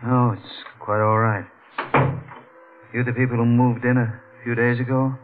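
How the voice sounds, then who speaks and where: An actor playing a courteous, unruffled eccentric musician, measured and formal, in 1940s radio drama style. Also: male voice, medium pitch, little pitch movement, natural voice. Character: polite, eerily unruffled eccentric musician neighbour. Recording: noisy, narrow old radio recording.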